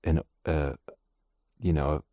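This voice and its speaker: A man who is a music producer, a podcast guest talking casually in a relaxed, self-deprecating way. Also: rumbling low, slow pace, dry recording, clean studio sound. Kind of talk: casual chat.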